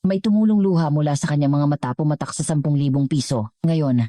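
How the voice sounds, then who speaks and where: A woman's voice: sits low, fast, warm tone, dry recording, studio quality. A woman narrating a scripted dramatic story as a storyteller.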